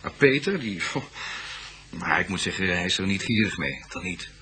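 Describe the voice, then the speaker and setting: Young male student, radio drama: informal, faltering, slightly low pitch, highly varied intonation. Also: animated, normal speed, bright, forceful tone. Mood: hesitant, chatty. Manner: hesitant, informal, faltering explanations. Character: hesitant, chatty young male university student. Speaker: actor in a police radio drama. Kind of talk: Dutch radio drama acting.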